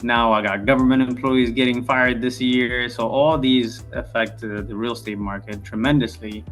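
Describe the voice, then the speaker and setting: A man's voice: medium pitch, steady tone, normal speed, fairly bright tone, faint music behind. A man from Ethiopia, a guest on a podcast, giving loose casual answers full of fillers and restarts. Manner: rambling, many fillers and restarts. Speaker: podcast guest, real estate founder.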